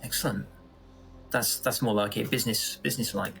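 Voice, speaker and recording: A man's voice: fairly low pitch, steady tone, fairly quick, creaky, a bit bright. A man playing a polite, flustered team member in a sci-fi audio drama, hesitant and stammering.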